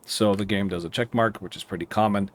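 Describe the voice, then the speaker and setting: A man with an American accent giving casual, explanatory commentary over a simulator game, thinking aloud in a halting, rambling way. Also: fairly low pitch, fairly even intonation. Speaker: air traffic controller streaming a simulator game.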